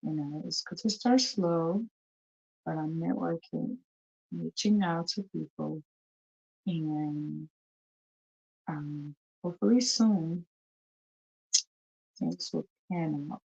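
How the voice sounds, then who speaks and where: A woman's voice: low voice, slow, creaky, pauses often, a little mellow, breathy edge, muffled recording. A woman hosting a live talk show, chatting casually and repeating herself as she greets viewers, with a cold. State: has a cold, coughing. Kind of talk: casual live-stream chat.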